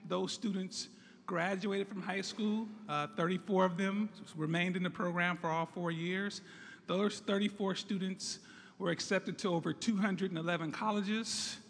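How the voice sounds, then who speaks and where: A hoarse man from San Francisco, a site director, giving an earnest ceremonial speech that thanks and introduces guests. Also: high-pitched, fairly bright tone. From San Francisco, United States. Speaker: site director of a youth college program. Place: large hall at a groundbreaking event. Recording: echoey hall with crowd murmur.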